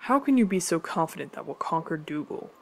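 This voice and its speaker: A man playing a stern, loyal, skeptical second-in-command in an animated fantasy drama, speaking in a dry, formal, matter-of-fact way. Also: fairly high pitch, a little fast, vocal fry, full character voice. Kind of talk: scripted animated drama dialogue.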